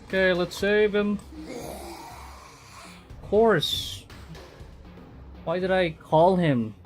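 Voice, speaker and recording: Male game streamer, slightly high pitch, unhurried, excitable, self-repeating, background music. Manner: excitable, muttering and repeating phrases to himself. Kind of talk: live game commentary mixed with reading visual novel text aloud.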